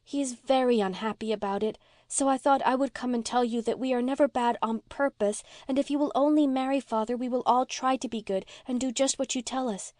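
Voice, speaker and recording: A woman's voice: high-pitched, normal speed, a little mellow, no room sound, clean studio sound, voice pushed up, close to an everyday voice. A woman voicing an earnest, anxious and sweet little girl in a storybook reading, with a breathless, pleading manner. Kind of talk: audiobook character voice.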